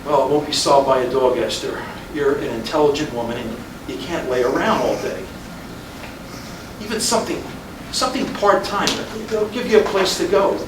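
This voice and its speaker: A man's voice: fairly high pitch, lively intonation, normal speed, natural voice. A man acting a weary, wry middle-aged policeman husband in a staged reading of a play. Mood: weary, firm. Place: indoor live reading at a college. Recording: echoey room from far off, noisy.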